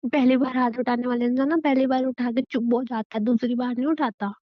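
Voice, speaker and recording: A woman's voice: fairly high pitch, steady tone, fairly quick, close to an everyday voice. A woman playing a resentful, wounded wife in an audio drama, reproachful and stubborn with a plaintive delivery. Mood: accusing. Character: wounded, resentful young wife, defiantly rebuffing her husband. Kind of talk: Hindi audio drama dialogue, emotional acting. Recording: muffled phone-like sound with room echo.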